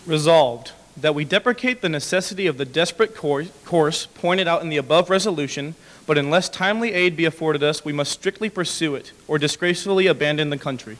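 A man's voice: a little high, a little fast, a bit bright. A young adult man reading historical documents aloud as formal, proclaiming oratory. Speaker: dramatic reader. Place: large brick-walled hall with stage, indoors. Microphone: podium microphone. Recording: echoey hall with long reverb.

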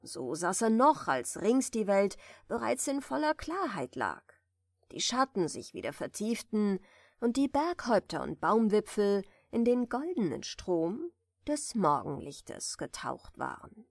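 A woman softly reading a bedtime fairy tale in a gentle, soothing manner, at times close to a whisper. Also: mid-range voice, sing-song, a little slow, dry recording. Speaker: audiobook reader, storyteller.

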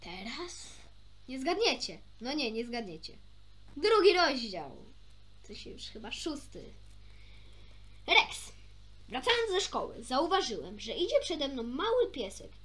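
A woman's voice: sits high, very animated, a little slow, pauses often, a bit bright. A woman reading a children's story aloud expressively, occasionally stumbling and rereading a phrase.

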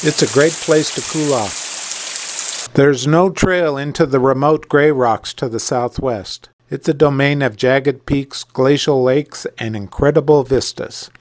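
A man's voice: medium pitch, a little slow. A man delivering scripted travel narration as the presenter of a hiking channel. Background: background music.

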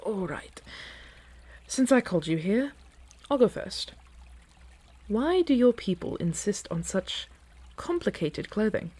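A woman playing an earnest, playful dragon in human form in a fantasy ASMR roleplay, with a casual, teasing, halting delivery. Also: medium pitch, very animated, warm tone, slightly rough, exaggerated voice. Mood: playful, curious.

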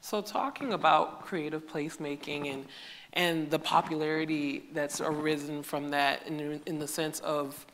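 A panel moderator who asks the panel questions, halting often with fillers. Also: mid-range voice, a bit bright. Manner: hesitant, many fillers. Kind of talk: panel discussion. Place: stage before an audience in a large hall.

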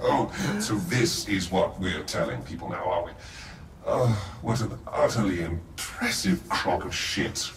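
A mocking, accusing voice in a video game. It is low and sardonic, dripping contempt, and echoes as if from a distance. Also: male voice, very animated, measured pace, background noise, full character voice. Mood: scornful. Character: scornful, mocking inner voice of accusation. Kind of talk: video game character acting. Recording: echoing, distant-sounding.

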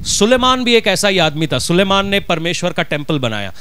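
A man's voice: high-pitched, big pitch swings, brisk, a bit bright, smooth. A middle-aged man with a Punjabi accent, a pastor preaching a sermon from a church stage with a handheld mic, driving his points home with rhetorical questions. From Punjab, India.

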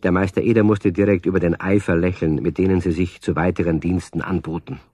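A man playing the storyteller of a children's radio play, narrating in a husky, measured, confiding voice. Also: deep voice, level tone, mellow, no room sound. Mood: storytelling, confiding. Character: male storyteller addressing child listeners.

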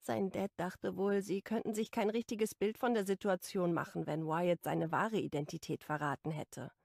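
A woman's voice: on the low side, fairly even intonation, moderate pace, vocal fry, a little mellow, dry recording, studio quality. A woman voicing a stressed, defensive young woman who confides candidly and wryly in an audiobook. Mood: troubled, candid.

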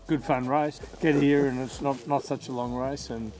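A man, a yacht sailor recounting the race in a measured way. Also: medium pitch. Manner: flat, measured, lists with fillers. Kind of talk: post-race interview.

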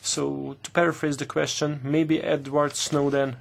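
A man interpreting formal committee questions live, speaking in halting bursts with pauses mid-phrase. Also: fairly high pitch, flat delivery, vocal fry. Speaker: simultaneous interpreter.

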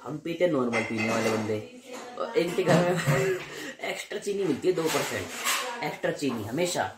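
Chatty young man, loud traffic. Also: a little high, big pitch swings, moderate pace. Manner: teasing, chatty, calls everyone bhai. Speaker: vlogger. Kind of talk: casual vlog chat to camera. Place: home, then city street and bus. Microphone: phone held at arm's length. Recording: loud street traffic noise.

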